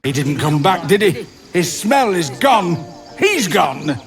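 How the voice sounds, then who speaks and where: An actor playing a forlorn, loyal animal in a video game, speaking simply and mournfully. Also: male voice, high-pitched, big pitch swings, slow pace, faint music behind, heavily acted. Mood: bereft. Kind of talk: video game creature acting.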